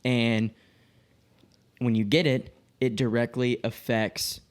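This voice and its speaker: A man's voice: medium pitch, level tone, slow, clear, resonant tone. A man in his late twenties chatting casually as a podcast co-host, telling personal anecdotes and acting out quoted dialogue, with frequent swearing. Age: late 20s.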